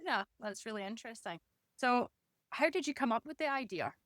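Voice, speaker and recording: Female podcast host, interviewer, slightly high voice, dry close recording. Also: expressive, brisk, a bit bright, no echo. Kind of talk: scripted introduction and interview questions.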